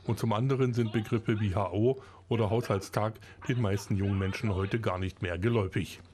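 A man reading a local news report in a measured, scripted narration style. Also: lowish voice, fairly even intonation, a little mellow.

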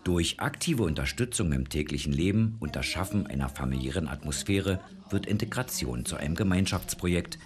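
Very deep-voiced male report narrator. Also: animated, fairly quick. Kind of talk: scripted news report narration.

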